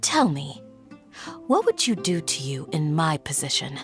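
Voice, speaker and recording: A woman's voice: low-pitched, very animated, unhurried, faint music behind. A woman playing a stern, righteous village guardian in a video game, formal and composed with controlled anger.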